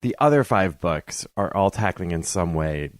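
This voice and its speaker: A man's voice: low voice, expressive, normal speed, dry recording, studio quality. A man speaking as a podcast guest, discussing books casually with hesitant, restarting phrasing.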